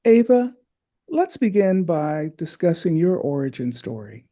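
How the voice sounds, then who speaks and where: A man opening an oral history interview in a measured, formal way. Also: fairly high pitch, sing-song, mellow.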